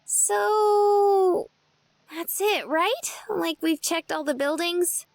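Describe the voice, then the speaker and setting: A woman's voice: very high voice, drawn-out words, creaky, no room sound, exaggerated voice. An actress playing a naive, bubbly young woman in a visual novel, chatty, girlish and a little hesitant, with music underneath. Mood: uneasy. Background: game music.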